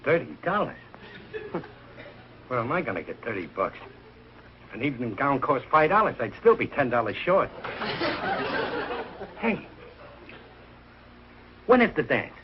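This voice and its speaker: A man's voice: medium pitch, sing-song, a little slow, long pauses. An actor playing a bumbling, good-hearted, hard-up American family man in a 1940s TV sitcom, talking in a plaintive, colloquial, blustery way. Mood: rueful. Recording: muffled, noisy 1940s television soundtrack.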